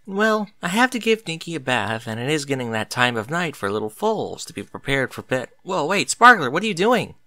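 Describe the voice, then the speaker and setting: A man voicing a flustered, kindly female pegasus pony mother in a story reading, halting and embarrassed. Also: on the high side, very animated, pushed and bright, full character voice.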